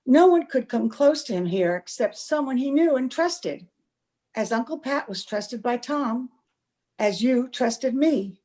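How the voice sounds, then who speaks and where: A woman's voice: high voice, lively intonation, unhurried, dark tone. A woman in an old-time radio play, acting an anxious, devoted young sweetheart in an earnest, fretful, melodramatic manner. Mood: earnest, troubled. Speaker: amateur radio play actor. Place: home, over a video call.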